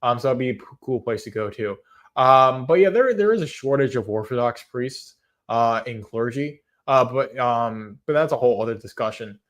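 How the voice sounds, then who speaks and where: A man's voice: medium pitch, expressive, normal speed. Man, late twenties, live-stream host, casual chat, rambling, hesitant, lots of fillers, earnest. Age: 20s. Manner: earnest, softly amused at chat jokes. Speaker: livestream host.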